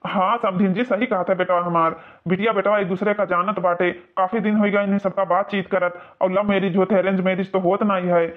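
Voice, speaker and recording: A man's voice: high-pitched, flat delivery, a little fast, hardly any pauses, natural voice. A man voicing a proud, reassuring father of the groom with an Awadhi accent in a cartoon. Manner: reassuring, boastful. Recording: muffled lo-fi sound.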